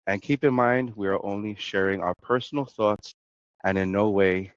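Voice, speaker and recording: Nasal man hosting a podcast, low voice. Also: moderate pace, dry recording, lo-fi sound. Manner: conversational, some filler and restarts. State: has a cold, nasal. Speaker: podcast host. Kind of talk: podcast interview.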